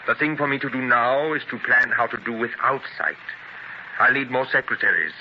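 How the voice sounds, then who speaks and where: A man acting in a 1930s radio drama as a proud, ailing, half-blind newspaper publisher, speaking forcefully and emphatically. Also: mid-range voice, moderate pace, gravelly, muffled recording, natural voice. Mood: defiant. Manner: declamatory, emphatic. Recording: scratchy, noisy 1930s radio transcription.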